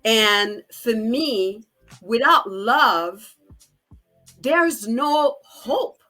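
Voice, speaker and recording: A woman in her forties from Haiti with a Haitian accent, answering interview questions in a heartfelt, deliberate way. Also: fairly high pitch, expressive, sharp tone, faint music behind. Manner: heartfelt, deliberate, with light laughs. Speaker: podcast guest.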